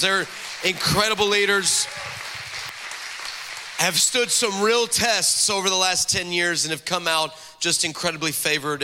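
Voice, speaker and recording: A man in his forties from the Texas–Mexico border, a guest pastor preaching a lively, joking sermon and calling out to the crowd in a large church hall. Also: high voice, slight background noise. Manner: energetic, joking, calls out to the crowd. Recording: long hall reverb from a church sanctuary.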